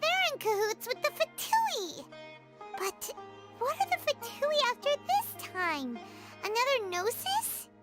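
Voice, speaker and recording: A woman voicing a tiny, anxious, excitable fairy companion in a video game, chattering in a squeaky childlike voice. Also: a little slow, full character voice. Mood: pondering, eager. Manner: squeaky, chattering, refers to herself in third person. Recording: music under the voice.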